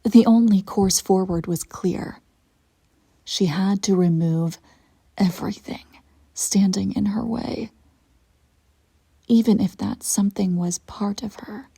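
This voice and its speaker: A woman playing a ruthless, self-satisfied immortal evil empress in a scripted roleplay monologue, telling her tale in a haughty, intimate, theatrical way with a soft, husky, breathy voice. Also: mid-range voice, steady tone, heavily acted. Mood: ominous. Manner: deliberate dramatic storytelling, haughty and intimate.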